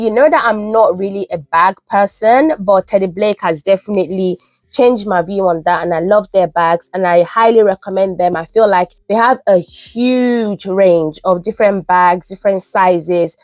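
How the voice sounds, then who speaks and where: A woman's voice: medium pitch, moderate pace, a little mellow, dry recording. A young woman who sounds nasal from a cold, chatting enthusiastically as a beauty vlogger reviewing products. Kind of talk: casual product review vlog. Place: UK home.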